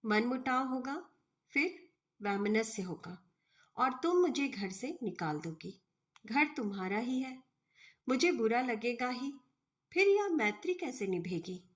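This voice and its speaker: A woman reading the storybook dialogue of a suave, cynical male professor who argues bluntly and deliberately. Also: a little high, very animated.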